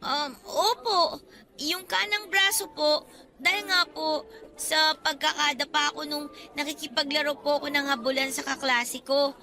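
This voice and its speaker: A woman's voice: sits high, fairly even intonation, unhurried, bright, forceful tone, faint music behind, exaggerated voice. A woman playing a sweet, polite young schoolgirl in a radio drama. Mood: hesitant, evasive. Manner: polite, hesitant when questioned. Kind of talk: radio drama acting in Tagalog.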